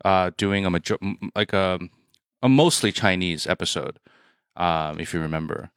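A man co-hosting a podcast, speaking in a halting way with many restarts, a little off-mic. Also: low voice, clean tone, no room sound, studio quality. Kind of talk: casual chat.

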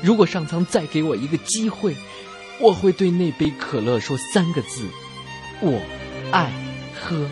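A man's voice: fairly high pitch, animated, unhurried, darkish tone. A man hosting a comedy radio show with scripted banter, talking in a theatrical, wordy, repetitive way. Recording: music bed behind the voice. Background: music.

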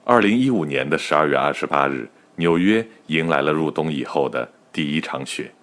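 A man's voice: a bass voice, animated, a little fast, fairly bright tone. A man playing a wry storyteller, narrating a satirical audio drama in a mock-solemn manner.

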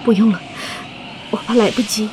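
A woman playing a tender young mother heading into space in an audio drama, gentle and earnest. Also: mid-range voice, steady tone, moderate pace, natural voice. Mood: wistful. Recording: clearly noisy ambience.

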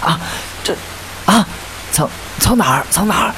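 An actor in a radio drama playing an earnest, lovesick young singer. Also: male voice, high-pitched, lively intonation, a little slow, bright, forceful tone, heavily acted. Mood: eager, flustered. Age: young adult. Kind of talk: Chinese radio drama acting. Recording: noisy hiss and vehicle ambience.

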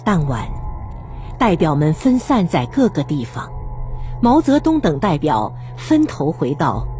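A woman announces and narrates a radio drama over clearly audible music. She is formal and solemn, speaking slowly with wide, declamatory movement in her mid-pitched voice. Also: sing-song, warm tone.

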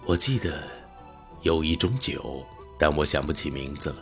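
A man playing an elderly, wistful, unhurried god who wanders the stars, in an audio drama. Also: a bass voice, fairly even intonation, fairly bright tone, soft background music. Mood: calm, reminiscing. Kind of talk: Mandarin audio drama acting.

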